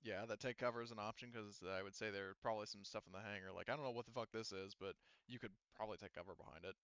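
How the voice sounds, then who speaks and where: A man's voice: fairly low pitch, fairly even intonation, racing, a bit bright, no room sound, lo-fi sound. A man casually refereeing a tabletop roleplaying game as game master, with informal, sweary talk.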